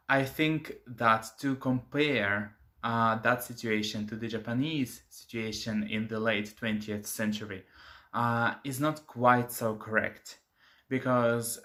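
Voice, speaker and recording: A man's voice: on the low side, a bit bright. Man, English not his first language, hesitant. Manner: hesitant, many filler sounds. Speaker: channel host and commentator. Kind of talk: casual commentary to camera.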